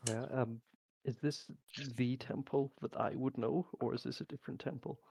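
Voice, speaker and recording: A man's voice: medium pitch, steady tone, moderate pace, creaky, a little mellow. A man playing in a tabletop game, joking and hesitant, with faint noise behind him. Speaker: player. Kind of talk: casual chat at a tabletop game.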